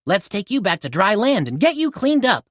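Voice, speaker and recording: A woman's voice: mid-range voice, very animated, moderate pace, a bit bright, no room sound, studio quality. A woman voicing a confident boy who leads a rescue team, encouraging and giving orders in a storybook. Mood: reassuring.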